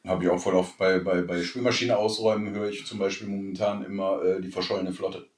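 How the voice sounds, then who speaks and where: A man's voice: low-pitched, flat delivery, fairly quick, a little hiss or hum. A man, chatting casually as a podcast co-host. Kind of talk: casual chat. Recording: echoey room, distant from mic.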